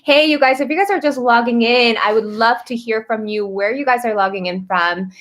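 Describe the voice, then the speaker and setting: Bubbly woman hosting a coffee chat livestream, kinda high voice, super excited to see everyone. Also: brisk. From Korea. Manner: upbeat, gushing, addresses viewers directly. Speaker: host, performance coach. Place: café in Seoul.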